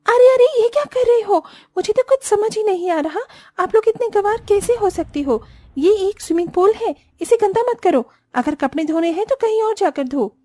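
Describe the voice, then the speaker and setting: A woman's voice: very high-pitched, expressive, moderate pace, mellow, no room sound, voice pushed up. A woman voicing a haughty, spoiled and showy young rich sister-in-law in a cartoon family story. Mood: scornful, irritated. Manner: scornful, complaining, showy.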